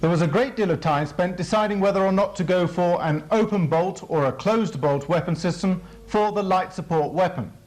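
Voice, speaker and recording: A British man presenting a military training film as a formal scripted briefing, measured and explanatory. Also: high-pitched, darkish tone.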